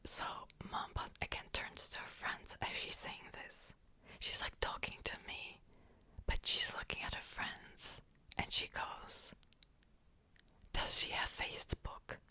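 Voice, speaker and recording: A young woman whispering a personal story in a chatty way, full of "like" fillers and small laughs. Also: unhurried. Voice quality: soft whisper throughout. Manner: chatty, frequent "like" fillers, amused.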